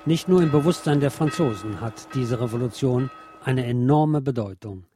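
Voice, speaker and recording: A man reads a scripted history feature as presenter, in a formal, measured way. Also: mid-range voice, music in the background. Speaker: radio show presenter.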